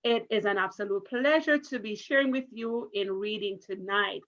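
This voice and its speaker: A woman's voice: medium pitch, normal speed, a bit bright. A woman, a primary school teacher reading to children in an encouraging, careful, interactive way over a video call. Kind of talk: interactive children's read-aloud. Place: online virtual story time call. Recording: muffled video call audio.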